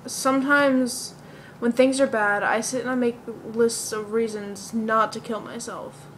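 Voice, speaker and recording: A woman acts out a bleak, depressed young woman in a dramatic stage monologue. The voice is on the high side, earnest and confessional, over a slightly noisy amateur recording. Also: steady tone, a little slow, fairly bright tone. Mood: subdued, confiding.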